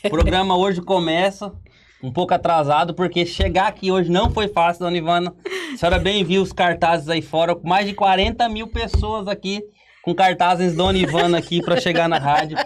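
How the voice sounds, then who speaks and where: A man's voice: high voice, fairly even intonation, normal speed. A Brazilian man hosting a casual podcast, talking in a playful mock-hype, exaggerated comic way. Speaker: podcast host.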